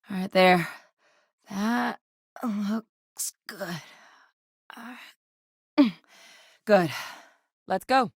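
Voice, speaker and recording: A low, earnest ex-soldier. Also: female voice, no echo, studio quality. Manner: measured, earnest, matter-of-fact. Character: composed female ex-soldier scientist, duty-bound. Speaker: companion character in a video game. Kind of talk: recorded game voice lines. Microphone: close studio microphone.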